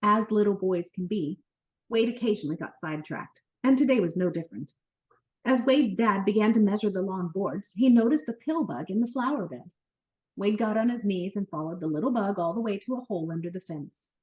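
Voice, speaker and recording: A woman narrating a children's book as an expressive, animated read-aloud storyteller. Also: medium pitch, brisk, poor audio.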